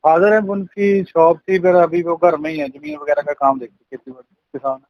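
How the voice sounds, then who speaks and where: A man's voice: high voice, big pitch swings, fairly quick, vocal fry, dry recording. A young man from Punjab in India in a polite, deferential phone conversation, often addressing the caller as sir. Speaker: part-time network marketer being coached. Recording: dull lo-fi phone call, dry.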